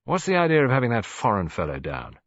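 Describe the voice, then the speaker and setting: A man's voice: mid-range voice, wildly swooping pitch, fast, no echo, highs cut off, pitched above the performer's own voice. A man voicing a cocky, slangy young boyfriend, brash and dismissive, in an audiobook story. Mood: brash, needling.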